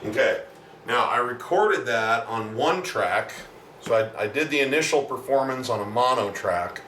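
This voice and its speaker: A man with a deep voice, a musician talking casually and at length in a video diary, explaining with many asides. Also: lively intonation, normal speed, fairly bright tone. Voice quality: deep natural voice. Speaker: singer-songwriter and self-producing musician. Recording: roomy, distant mic, faint background noise.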